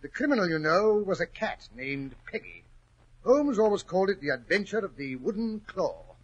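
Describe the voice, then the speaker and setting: An actor playing a genial English gentleman storyteller in a 1940s radio drama, chatty and courteous, relishing his tale. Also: sits high, big pitch swings, normal speed, slightly rough, lo-fi sound, heavily acted. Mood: genial, storytelling. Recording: old 1940s radio recording.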